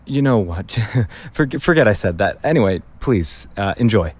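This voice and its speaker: A man's voice: fairly low pitch, very animated, unhurried, slight background noise. A man playing a wry, grumbling young man in naturalistic audio drama, conversational and dryly sarcastic. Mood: hesitant, reflective.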